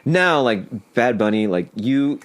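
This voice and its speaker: A man whose first language is Spanish, a podcast guest chatting casually and telling stories in animated, slangy talk full of filler "like". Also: mid-range voice, slow. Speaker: podcast guest, singer and songwriter. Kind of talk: casual chat.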